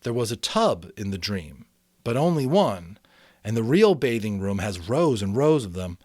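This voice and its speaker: A man's voice: lowish voice, sing-song, normal speed, darkish tone, no echo, clean studio sound. A man voicing an earnest, hesitant young boy in an audiobook story, explaining himself carefully. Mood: earnest, recalling.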